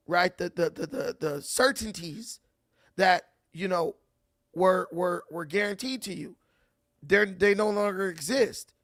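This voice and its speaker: A man's voice: sits high, a bit bright, no echo, studio quality. A man hosting casual reaction commentary, ranting and repeating words as he builds his point. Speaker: commentary host.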